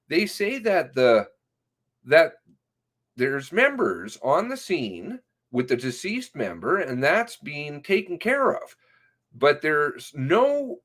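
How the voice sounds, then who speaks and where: A man reading an interview transcript aloud in a halting way, sometimes breaking off with asides of his own. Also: on the high side, a bit bright. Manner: halting, often breaking off to comment on the text.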